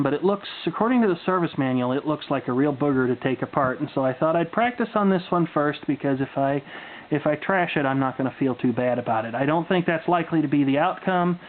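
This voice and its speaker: A man presenting a casual, unscripted show-and-tell with dry, wry humor and folksy turns of phrase. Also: fairly high pitch. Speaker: hobbyist video presenter. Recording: muffled phone-like audio with background noise.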